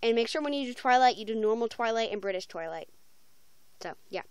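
A woman's voice: a little high, expressive, a little fast, clean tone, dry recording. A young woman talking casually and informally in a rambling, slangy vlog, like a creator announcing something to viewers. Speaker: video creator announcing voice auditions. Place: small room at home, bedroom. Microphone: handheld phone, close by.

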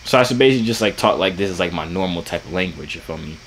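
A man's voice: lively intonation, very fast, a little hiss or hum. A young man in casual prank chat on a video call, putting on a fake British accent, jokey and playful in a small bedroom. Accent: fake British put on over American. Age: young adult. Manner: playful, jokey, bursts into loud high exclamations.

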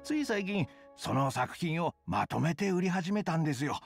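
A deferential young bookshop editor in a fantasy game. His voice is high and animated, with some creaky fry. He is flustered, hesitant and extremely polite. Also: normal speed, soft background music. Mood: polite, yielding. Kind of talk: Japanese game voice acting.

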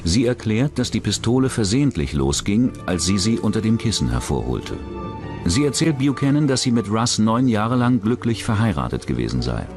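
A man narrates a true-crime documentary in a very low voice. His intonation moves widely up and down, and music plays clearly underneath him. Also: sing-song, moderate pace. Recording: dramatic music bed under the voice. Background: background music.

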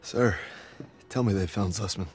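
An actor playing an earnest, stubborn young American private in a war game, with a gravelly voice. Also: male voice, low voice, normal speed, darkish tone, soft background music, lightly acted. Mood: tense, weary. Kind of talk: cinematic video game acting.

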